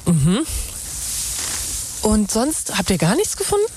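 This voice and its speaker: Casting: female journalist, pushy and irritable, lively melodic delivery, naturalistic radio play, slightly noisy field recording. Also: medium pitch, takes it slowly. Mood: businesslike.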